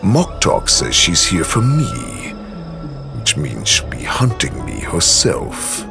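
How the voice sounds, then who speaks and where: A menacing wolf-beast warlord in a game cutscene, with an extremely deep voice and gravelly grit. He talks slowly and taunts, his pitch swinging widely with lively menace. Music plays clearly behind him. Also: exaggerated voice. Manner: slow, taunting, threatening. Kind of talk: video game cutscene acting.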